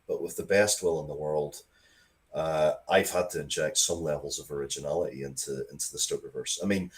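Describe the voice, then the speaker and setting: A man's voice: rumbling low, a little mellow. A man speaking as an interviewed writer, rambling conversationally and bluntly through stories about his own work.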